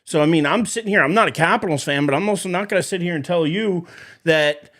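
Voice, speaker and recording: A man's voice: on the high side, fast, a bit bright. A man co-hosting a sports podcast, giving emphatic, opinionated takes in casual talk. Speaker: podcast co-host.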